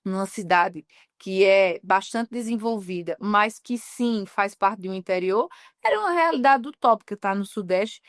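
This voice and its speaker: A woman journalist from Northeast Brazil talks in an earnest, reflective way and stretches out the words that matter. Her voice is smooth and clear. The sound is dry and close. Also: mid-range voice, expressive, no echo. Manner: earnest, slows down on key words. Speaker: journalist guest. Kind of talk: reflective interview talk.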